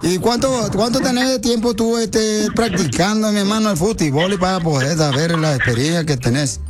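A man doing a pushy, slangy soccer scout who drawls and stalls with fillers while he pesters. The voice sits high, with music playing behind it. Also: moderate pace, natural voice. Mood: probing.

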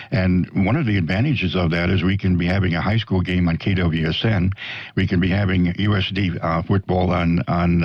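A man with an American accent hosting a casual radio interview in a halting, rambling, folksy way, on dull audio. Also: sits low, no echo. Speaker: radio host.

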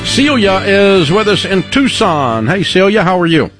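A man's voice: sits high, big pitch swings, measured pace, fairly bright tone, soft background music. A man hosting a call-in advice show from a radio studio, asking pointed, direct questions.

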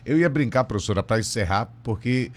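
A male radio host with a Northeastern Brazilian accent and a mid-pitched voice. He talks in an effusive, chatty way, often checking in with little tag questions as he conducts a casual interview. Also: animated, fairly quick.

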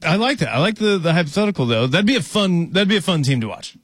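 Easygoing man reading chat, slightly high. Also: quick pace, no room sound, clean studio sound. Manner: relaxed, easygoing, reads chat questions aloud. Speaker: co-host reading viewer comments. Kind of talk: casual sports chat.

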